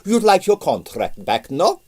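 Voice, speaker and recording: A man's voice: high voice, huge pitch swings, a little slow, darkish tone, breathy edge, voice pushed up, heavily acted. A man voicing a blustering, evasive Russian ballet impresario in broken English with a Russian accent, in a storybook reading. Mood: defiant, hopeful.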